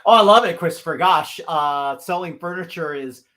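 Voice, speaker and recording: A man's voice: a little high, moderate pace, fairly bright tone. A man from the US Pacific Northwest hosting a livestreamed talk show, upbeat and effusive, with many fillers. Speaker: host.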